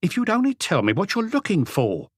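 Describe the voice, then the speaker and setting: A man's voice: high-pitched, huge pitch swings, a little fast, breathy edge, no echo, studio quality, voice pushed up, heavily acted. A man voicing a suspicious, ill-tempered, defiant housewife who fires indignant questions in an audiobook. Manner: snappish, indignant, challenging questions. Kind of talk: audiobook character voice.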